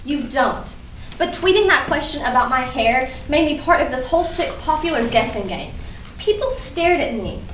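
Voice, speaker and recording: A woman's voice: sits high, animated, moderate pace, a bit bright. Woman acting guarded teenage girl, distant and echoey. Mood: quietly indignant. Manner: terse, pointed, reserved. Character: guarded, principled teenage schoolgirl, quietly indignant. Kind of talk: staged reading of a play, naturalistic dialogue. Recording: distant, echoey room with clear noise.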